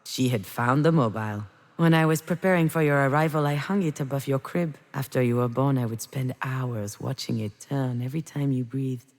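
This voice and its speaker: A reflective, grieving mother speaks in a low-pitched voice that rings with long reverb. Her delivery is introspective and measured, a confessional first-person monologue from a narrative video game. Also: darkish tone. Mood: somber, then tender, fragile. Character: reflective grieving mother, a screenwriter, recalling memories. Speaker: narrating protagonist of a visual novel. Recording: long reverberant hall echo.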